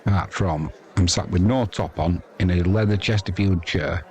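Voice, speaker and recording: A man's voice: low voice, moderate pace, darkish tone. A man with an English accent giving casual, rambling, chatty live cricket commentary as the host of a sports stream.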